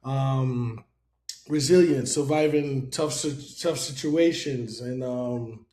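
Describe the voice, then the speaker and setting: A man's voice: medium pitch, takes it slowly, dark tone. A man from Haiti hosting a casual podcast chat, loose and rambling with lots of fillers. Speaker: podcast host. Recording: roomy echo.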